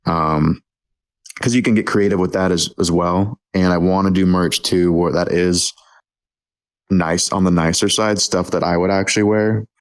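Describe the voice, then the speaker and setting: A young man in his twenties with a deep voice that stays level and rarely rises or falls. He talks casually as a podcast guest, hesitant and full of ums and restarts, in a steady monotone. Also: normal speed. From Texas. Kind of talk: casual interview chat.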